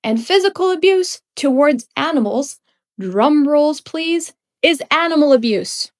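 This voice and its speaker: A woman's voice: high voice, animated, measured pace. A young woman hosting her podcast, ranting bluntly and without a script, her voice a little off from a cold. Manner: emphatic and blunt, with occasional laughs. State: slightly congested from a cold. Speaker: podcast host. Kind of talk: unscripted ranting commentary.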